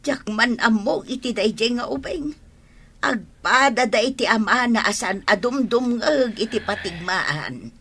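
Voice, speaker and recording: Woman playing scolding old ilocano lola, raspy and a bit high, fretful, radio comedy. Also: lively intonation, measured pace, a bit bright, full character voice. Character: scolding, fretful village grandmother, old and stern.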